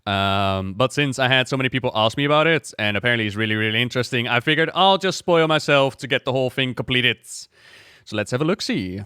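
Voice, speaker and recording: A man's voice: medium pitch, lively intonation, fast, sharp tone, smooth, no room sound. A man giving casual livestream reaction commentary as a game lore YouTuber, explaining things in a chatty, informal way.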